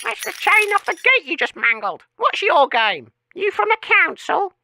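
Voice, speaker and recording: A woman's voice: extremely high pitch, very animated, normal speed, vocal fry, sharp tone, a little hiss or hum, heavily acted. A woman playing an indignant, exasperated, blunt construction site boss in a radio comedy.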